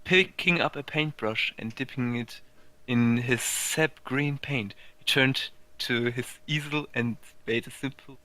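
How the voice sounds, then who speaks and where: Male amateur narrator: mid-pitched, sharp bright voice, informal story reading with stumbles. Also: measured pace, rough recording. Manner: stumbles over words, informal. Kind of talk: amateur fan fiction reading.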